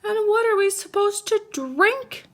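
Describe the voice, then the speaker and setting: A blustering storybook father bear, played by a woman reading aloud, fusses and protests over his treats. The delivery is bright and very animated. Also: very high voice, measured pace, pitched above the performer's own voice, heavily acted. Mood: doubtful. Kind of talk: children's storybook read-aloud.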